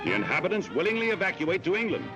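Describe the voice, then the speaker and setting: Male newsreel narrator, American, 1940s style. Dramatic and animated, dull old film-soundtrack sound, orchestral score underneath. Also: high voice, racing. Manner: dramatic, declamatory delivery. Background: loud orchestral music.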